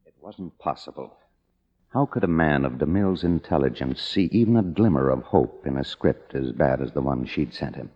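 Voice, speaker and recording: An actor playing a wry, world-weary young screenwriter in an old noir radio drama. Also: male voice, very deep voice, animated, moderate pace, slightly rough, heavily acted. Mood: wry, narrating. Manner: wry, sardonic. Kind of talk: 1950s radio drama acting with noir narration. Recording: muffled, noisy old radio recording.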